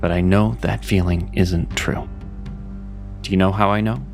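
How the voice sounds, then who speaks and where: A low-voiced actor as a male mage with a creaky, gravelly edge, mentoring with patient sincerity. He speaks in measured, reassuring phrases, as in a fantasy audio drama, with music and rain underneath. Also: steady tone, darkish tone. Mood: earnest, reassuring. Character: Seraphin, male mage, gentle earnest mentor.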